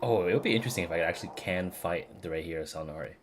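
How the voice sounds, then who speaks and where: A man's voice: low-pitched, racing. A man giving casual live commentary as he streams a video game. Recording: game music behind.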